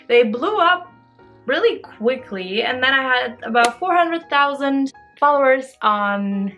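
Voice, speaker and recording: A woman's voice: high-pitched, animated, slow pace, pushed and bright, faint music behind. A woman, chatting casually and expressively in a bubbly way as she answers questions for a vlog. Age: early 20s. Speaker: social media creator answering follower questions.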